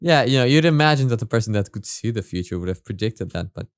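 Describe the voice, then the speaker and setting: Male reaction host. Smooth medium voice, casual halting delivery with repeats and swearing, very dry sound. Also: medium pitch, expressive, no room sound, faint music behind. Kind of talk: casual spoken commentary on a video.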